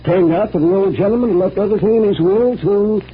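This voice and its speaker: An actor playing an earnest, easily spooked small-town sheriff with hesitant rustic speech, in old-time radio mystery style. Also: male voice, high-pitched, quick pace, close to an everyday voice. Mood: earnest, businesslike. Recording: muffled old radio recording, faint noise.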